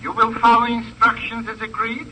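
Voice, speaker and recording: An actor playing a fussy, coolly polite gangster who speaks in formal, precise instructions in a 1950s radio drama. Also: male voice, extremely high pitch, monotone, moderate pace. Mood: coolly instructing. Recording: thin, distant, noisy old radio recording.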